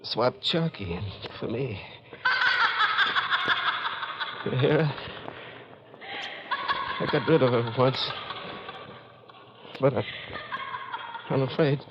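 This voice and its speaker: An actor playing a doomed, bewildered man with halting speech in a 1940s radio mystery. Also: medium pitch. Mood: haunted, afraid. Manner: halting, repeating his words. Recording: noisy, dull 1940s radio recording.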